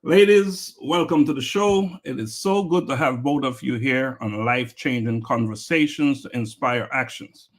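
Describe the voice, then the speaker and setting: A man's voice: mid-range voice, moderate pace, hardly any pauses. A man hosting an inspirational interview podcast, introducing guests with emphatic, rising energy. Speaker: podcast host.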